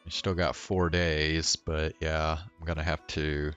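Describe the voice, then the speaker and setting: A man's voice: very deep voice, flat delivery, a little slow, fairly bright tone. A man chatting casually on a game livestream, rambling and hesitant as he thinks aloud, with game music behind him. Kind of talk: casual chat while playing a game.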